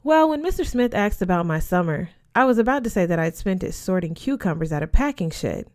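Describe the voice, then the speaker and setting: A woman's voice: on the low side, very animated, a little fast, a little mellow. A woman voicing a frank, wry teenage schoolgirl in casual dialogue.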